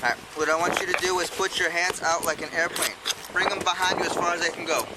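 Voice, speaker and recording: A man working as a police officer, giving firm, rapid instructions during a roadside sobriety test. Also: high voice, steady tone. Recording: noisy police bodycam audio.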